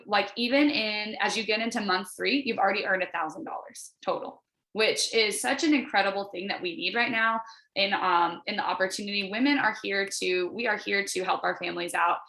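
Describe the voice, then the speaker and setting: A woman's voice: mid-range voice, sharp tone. A woman giving an informal sales presentation to a group over a video call as a wellness coach, chatty, encouraging and emphatic. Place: indoor room on a video call, roomy sound. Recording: roomy, echoey video-call audio.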